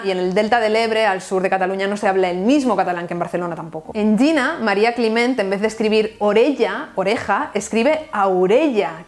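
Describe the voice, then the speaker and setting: A young woman from Valencia with a Valencian Spanish accent, hosting a scripted literature video with wry, ironic asides. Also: medium pitch. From Valencia, Spain. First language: bilingual Valencian and Spanish. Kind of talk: scripted explanatory video essay.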